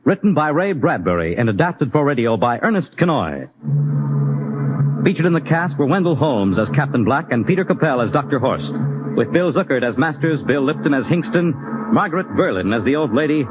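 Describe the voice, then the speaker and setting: Male American announcer, vintage 1950s network radio read, lively intonation over clear music, limited old-broadcast fidelity. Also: on the high side, fairly quick, muffled recording.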